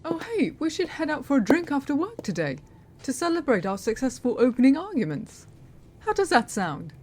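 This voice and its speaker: A woman's voice: high voice, normal speed, a little hiss or hum. A woman playing a playful, gossipy law-office colleague in an audio roleplay, with an upbeat, teasing manner. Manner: animated, teasing.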